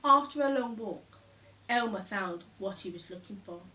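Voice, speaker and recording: A woman reading a children's storybook aloud, with expressive, deliberate phrasing and emphatic repetition. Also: medium pitch. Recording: slightly distant microphone.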